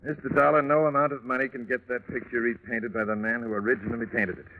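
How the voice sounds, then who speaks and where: An actor playing an earnest, long-winded gentleman art organizer, speaking formally and self-importantly in 1940s radio drama style. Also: medium pitch, steady tone, quick pace, natural voice. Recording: tinny, noisy vintage radio recording.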